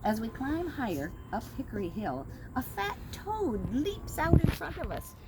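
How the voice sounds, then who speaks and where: A middle-aged woman reads a picture book aloud for young children, her pitch rising and falling in wide, lively swoops. She is gentle and patient and slows down to give little listeners time. The home recording carries a clear layer of background noise. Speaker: teacher reading to children. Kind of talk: storybook read-aloud for kids. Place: at home with her dog nearby.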